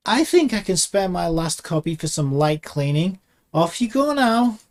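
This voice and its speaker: Casting: a man as a smug, haughty game lady, higher voice, sly and condescending. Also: sing-song. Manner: condescending, drawn-out. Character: sly, haughty adult female publisher.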